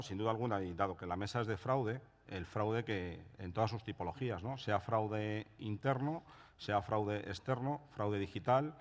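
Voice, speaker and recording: Low-voiced man on a conference panel. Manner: measured, frequent "¿no?" tag questions. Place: conference room. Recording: reverberant conference hall.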